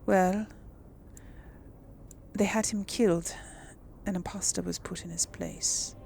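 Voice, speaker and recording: A woman's voice: mid-range voice, fairly even intonation, slow pace, gravelly, darkish tone, slight background noise. A woman hosting a casual let's play, talking in an informal, hesitant, chatty way. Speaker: gaming video host. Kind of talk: casual let's play commentary with role-played replies. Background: video game ambience.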